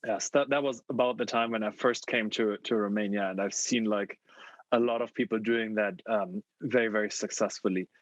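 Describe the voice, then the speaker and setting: A man in his thirties moderating a fireside chat over a video call from a home room, friendly and halting, with many fillers and restarts. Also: on the low side, steady tone, no room sound. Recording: dull, dry video call audio.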